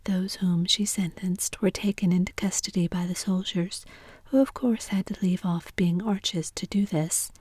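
A woman reading a storybook aloud in a steady whisper, ASMR style. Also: fairly low pitch, unhurried, husky, no echo.

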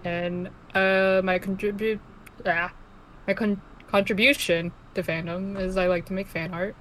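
A woman in her early twenties, co-hosting a casual podcast, speaking softly with hesitant fillers. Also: mid-range voice, steady tone, a little slow, a little hiss or hum, low-quality recording. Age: 20s. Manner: soft-spoken, hesitant, lots of fillers. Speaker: podcast co-host. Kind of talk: casual chat.

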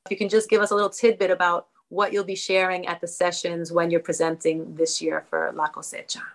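A woman's voice: on the low side, little pitch movement, a little fast. A woman hosting an interview podcast, chatty and affirming toward her guest. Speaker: podcast host, school leader.

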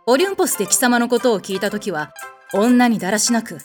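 A woman's voice: a little high, quick pace, soft background music, natural voice. A woman playing a haughty, blunt warrior goddess in a comedic audio drama, speaking in an imperious, curt way with a protective streak. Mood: solemn. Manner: imperious, commanding, curt masculine phrasing.

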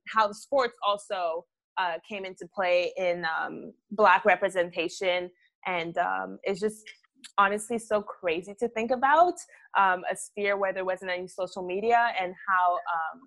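A woman's voice: on the low side, a bit bright. A woman in her twenties leading a casual video-call discussion, thoughtful and hedging with frequent ums. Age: 20s.

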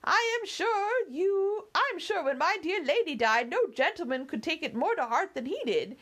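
A woman's voice: very high-pitched, animated, normal speed, a bit bright, pitched above the performer's own voice. A woman putting on a chattering old housekeeper. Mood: fussing. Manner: chattering, doting, well-meaning. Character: fussy, talkative old female housekeeper.

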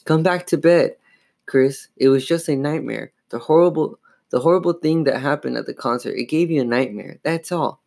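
Man doing an excitable girl, pitched way up. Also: moderate pace, gravelly. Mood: insistent. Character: excitable, doubtful young girl, the sister.

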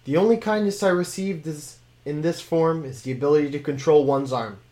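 A man's voice: fairly high pitch, brisk, mellow, natural voice. Man voicing a wry talking sword. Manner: formal, wry, commanding. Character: talking sword, former male blade master, wry.

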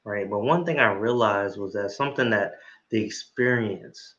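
A man with a fairly low voice hosts an interview, sounding bright and forceful. He talks with enthusiasm and keeps tagging "right?" onto his sentences. The recording is dull and lo-fi. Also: normal speed. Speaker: interview host. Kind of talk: casual interview chat.

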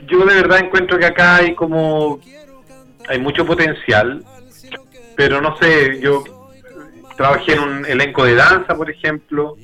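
A man's voice: medium pitch, sharp tone, poor audio. A Chilean man from Santiago talking casually and candidly as a musician being interviewed, in a reflective, colloquial way.

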